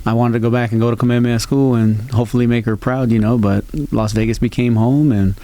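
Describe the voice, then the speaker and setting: A man's voice: medium pitch, flat delivery, fast, dark tone, dry recording. A man in his forties from Hawaii, a guest talking in an easygoing, friendly way in a studio interview. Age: 40s. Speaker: guest, restaurant manager. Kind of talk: casual interview.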